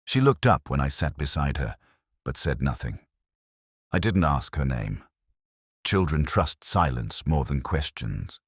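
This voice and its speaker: A man plays a world-weary middle-aged detective telling his own mystery story. His voice sits extremely low yet moves expressively through each phrase. The delivery is reflective and literary, recorded close and dry. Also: big pitch swings, unhurried, husky, no echo, exaggerated voice. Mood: brooding, reflective. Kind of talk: scripted first-person mystery narration.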